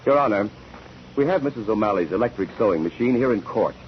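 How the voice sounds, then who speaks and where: A man acting a formal, methodical courtroom examiner in an old radio drama. His low voice swings widely in pitch as he probes. The sound is dull and noisy, like a 1940s broadcast. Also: animated, fast talker, natural voice. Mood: brisk, methodical. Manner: crisp, formal questioning.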